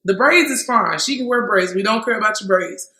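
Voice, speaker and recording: A woman's voice: mid-range voice, fairly quick. A woman, co-hosting a casual group chat, laughing often. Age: 30s. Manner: laughs often, animated storytelling. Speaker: podcast co-host. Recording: echoey room.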